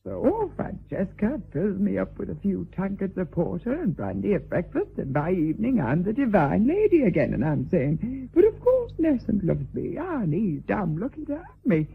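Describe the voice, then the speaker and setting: Husky, boozy, vulgar lady. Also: mid-range voice, huge pitch swings, normal speed, few pauses, heavily acted. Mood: maudlin. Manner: declamatory, swinging between coarse bluntness and maudlin grandeur. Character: vulgar, boozy, blowsy lady mistress, vain yet fearful. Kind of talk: theatrical radio drama acting. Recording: thin, phone-like band-limited radio drama audio.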